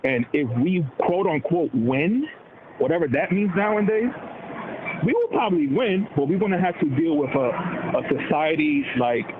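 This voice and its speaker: Animated man on a tinny, noisy line. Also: fairly high pitch, normal speed. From Dominican heritage. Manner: animated, slangy, puts on quoted voices. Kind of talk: casual political chat. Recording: tinny phone-like line with noise.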